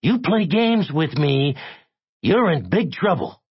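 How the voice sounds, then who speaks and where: A desperate, bullying man on the phone, acted by a male narrator, pitched high and strained with husky edges. He pushes out his demands in sharp, breathless bursts, in a slightly dull audiobook recording. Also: very animated, slow, dry recording, full character voice. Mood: sharp, threatening. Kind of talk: audiobook character acting.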